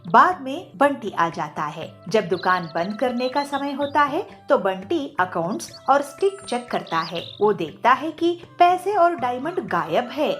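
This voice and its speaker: A woman's voice: fairly high pitch, sing-song, unhurried, few pauses, faint music behind. A woman reading scripted narration for a children's cartoon moral story.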